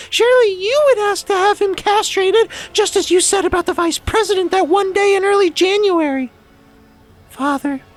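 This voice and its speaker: A man's voice: extremely high pitch, voice pushed up. A man voicing a precocious little girl who lectures her father in a long-winded, moralizing way.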